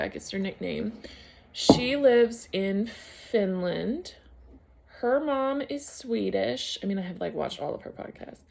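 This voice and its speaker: A woman's voice: medium pitch, vocal fry, darkish tone. A woman casually vlogging about knitting at home, rambling and hunting for words, a little unfocused after a concussion. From United States. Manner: rambling, searching for words, many fillers and restarts. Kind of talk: casual solo vlog chat.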